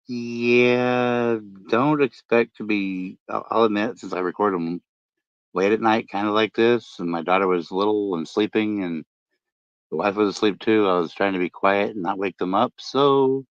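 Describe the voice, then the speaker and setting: Deep-voiced man, rambling late-night chat. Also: no echo. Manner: rambling, many fillers and restarts. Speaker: podcast host and ham radio vlogger. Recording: dull lo-fi dry sound.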